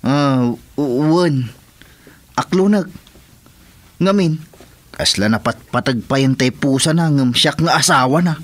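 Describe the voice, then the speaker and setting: A man's voice: mid-range voice, measured pace, pauses often, lightly acted. A man playing a grumbling, put-upon village husband in an Ilocano comedy radio drama, with an Ilocano accent.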